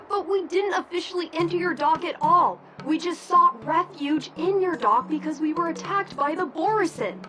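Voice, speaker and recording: High-pitched haughty merchant envoy. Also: female voice, moderate pace, few pauses, pushed and bright, a little hiss or hum. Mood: dismissive. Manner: haughty, protesting, sarcastically polite. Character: haughty, indignant female cargo merchant envoy. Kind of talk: video game voice acting. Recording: music behind the voice.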